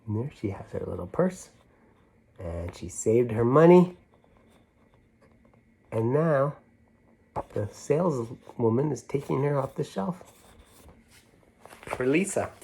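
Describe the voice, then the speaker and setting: Man, children's storybook reader, gentle, chatty asides, medium pitch. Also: animated, slow, gravelly, long pauses, a little hiss or hum, poor audio. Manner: gentle, talking as if to young children. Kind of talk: read-aloud picture book with chatty asides.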